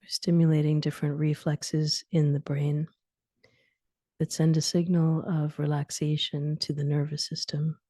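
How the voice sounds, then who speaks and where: A woman leading a guided sleep meditation, giving soothing instructions in short phrases with long pauses and soft, breathy endings. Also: low-pitched, level tone, no echo, studio quality.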